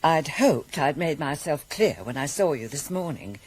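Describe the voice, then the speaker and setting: A low, husky British woman's voice a stern middle-aged superior in a radio play. She is formal and reproving, with emphatic rises and falls in her delivery. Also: expressive, normal speed, darkish tone, low-quality recording. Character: stern, disapproving middle-aged senior woman probation officer. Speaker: actor in a radio drama. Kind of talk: British radio drama acting.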